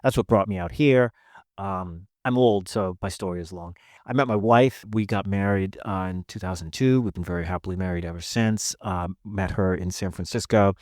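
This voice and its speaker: A man in his fifties with an American accent and a fairly low voice speaks as a podcast guest. He tells his story conversationally, often stopping to correct himself. The sound is close and dry. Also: moderate pace, darkish tone, no echo. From northeastern United States. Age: 50s.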